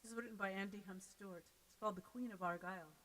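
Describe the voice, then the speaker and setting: An American woman from Seattle, a folk singer chatting casually between songs on her live stream, quick and self-deprecating. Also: medium pitch, fairly even intonation, fairly bright tone, in a large hall, a little hiss or hum, rough recording. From Seattle, United States.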